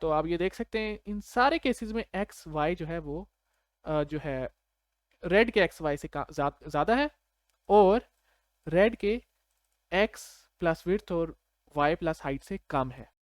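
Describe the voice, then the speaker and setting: A man's voice: fairly high pitch, animated, measured pace, no room sound, clean studio sound. A man with a Pakistani accent giving an informal step-by-step tutorial, conversational and often checking that the listener follows. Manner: conversational, checks understanding with short tag questions. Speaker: programming tutor.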